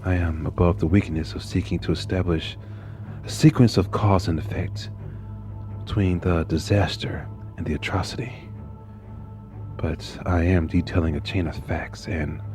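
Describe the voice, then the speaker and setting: Deep-voiced man reading horror intensely. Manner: intense, swings from hushed whispers to rising anguished outbursts. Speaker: narrator. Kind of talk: dramatic horror story reading.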